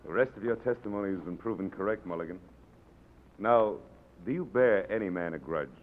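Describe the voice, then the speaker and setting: An actor playing a stern, controlled commandant, questioning with clipped authority in 1940s radio style. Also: male voice, fairly low pitch, a little fast, narrow, tinny audio, natural voice. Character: stern, controlled male commandant running an underground tribunal. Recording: thin, band-limited old radio broadcast.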